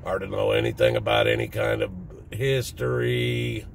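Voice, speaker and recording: Southern US man, fifties, raspy and low, very gravelly; plain-spoken, slightly defensive vlogger talking off the cuff. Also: big pitch swings, normal speed. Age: 50s. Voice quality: raspy, deep smoker's voice. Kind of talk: casual personal talk to viewers. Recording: distant mic with faint background noise.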